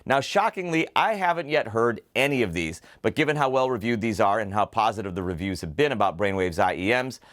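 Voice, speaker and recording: A man hosting a scripted product preview, explaining details in a measured way and spelling out model names clearly. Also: lowish voice, a bit bright. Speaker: host and headphone reviewer.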